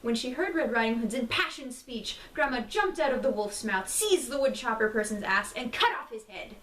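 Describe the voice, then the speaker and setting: A woman's voice: on the high side, lively intonation, moderate pace, a bit bright. A woman reading a bedtime story theatrically, with a mock-solemn, dramatic delivery. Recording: roomy, distant mic, faint noise.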